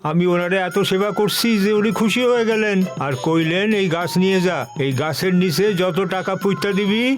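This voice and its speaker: A man's voice: high-pitched, a little fast, soft background music, lightly acted. A man voicing a wily cartoon trickster telling a tall tale in a folksy, boastful way. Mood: excited, boastful. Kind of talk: animated comedy cartoon storytelling.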